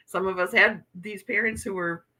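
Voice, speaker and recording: A woman's voice: mid-range voice, fairly even intonation, slow, sharp tone, husky. A woman in her fifties hosting a casual livestream, chatting and laughing as she reads out viewer comments. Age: 50s. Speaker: livestream host.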